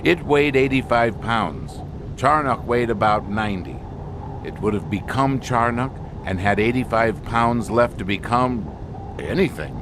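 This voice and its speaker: An actor playing a grave expedition scientist in an audio drama, speaking deliberately with weighted, halting pauses. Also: male voice, mid-range voice, lively intonation, noisy background, close to an everyday voice. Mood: grim, foreboding. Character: grave male expedition scientist explaining an alien menace.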